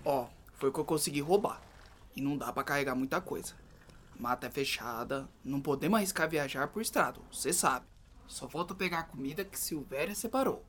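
A scrawny, cautious fugitive-to-be played by a male actor in a radio drama. His voice is bright, tense and a little high, with colloquial phrasing. Faint ambient noise sits behind it. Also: a little fast, natural voice. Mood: wary. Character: scrawny enslaved man, cautious, plotting an escape.

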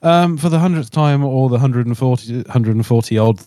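A man's voice: medium pitch, a little fast, warm tone, dry recording, studio quality. A man co-hosting a podcast, chatting casually in a rambling, joking way. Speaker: podcast co-host, musician. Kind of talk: casual chat.

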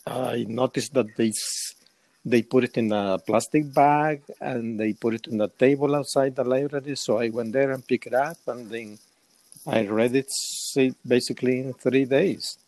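A man of sixty-five from El Salvador with a Salvadoran Spanish accent, giving casual, halting answers as an interview guest. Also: medium pitch, steady tone, a little mellow, dry recording. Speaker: interview guest, semi-retired.

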